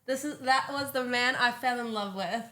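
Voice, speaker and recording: A woman's voice: fairly high pitch, moderate pace, bright, forceful tone. A woman, a native Farsi speaker, chatting as a podcast guest, enthusiastic and giggly. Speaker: actress and filmmaker, guest. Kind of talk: casual chat.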